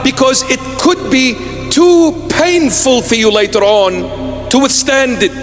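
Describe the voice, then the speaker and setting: Man in his fifties, bishop preaching earnestly, high-pitched voice, long hall reverb with music. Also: slow. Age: 50s. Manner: earnest, emphatic, repeating phrases. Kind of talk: sermon.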